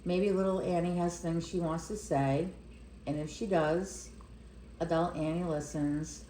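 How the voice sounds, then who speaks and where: A woman leading a guided meditation in a gentle, unhurried way, speaking in short phrases with pauses between them. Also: fairly low pitch, fairly even intonation, reverberant, a little hiss or hum, low-quality recording. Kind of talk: guided meditation, slow soothing narration.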